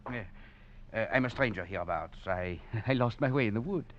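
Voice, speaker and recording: A man's voice: fairly low pitch, animated, brisk, natural voice. An actor playing a wry, cunning male escaped prisoner who narrates his tale with chuckles and theatrical flair, in a 1940s radio drama. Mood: wry, chuckling. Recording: dull old radio recording, faint music.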